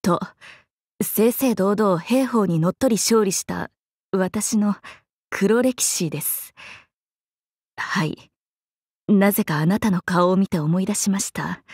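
A woman voicing a playful, cheerful young swordswoman in an anime mobile game, breezy, expressive and teasing like a big sister. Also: mid-range voice, takes it slowly, long pauses, slightly rough, dry recording, clean studio sound. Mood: sheepish, wistful. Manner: breezy, expressive, teasing, casual with sudden formal turns.

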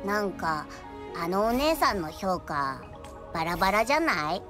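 A woman's voice: mid-range voice, sing-song, normal speed, natural voice. A woman voicing a blunt, informal, boyish adventuring companion in a Japanese video game. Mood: puzzled. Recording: music behind the voice.

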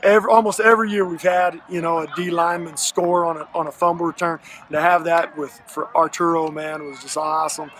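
An American man in his thirties, a high school football coach, giving a postgame interview in a halting, folksy way with repeated words. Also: steady tone, fairly bright tone. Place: outdoor football field at night. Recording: noisy outdoor field, vehicle-like ambience.

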